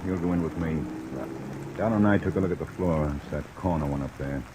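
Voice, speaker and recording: An actor with an American accent playing a steady, practical police lieutenant, plain-spoken and in charge, in a vintage radio drama. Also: male voice, low voice, fairly quick, close to an everyday voice. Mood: commanding. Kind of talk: mid-century American radio drama acting. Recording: old radio recording under heavy rain noise.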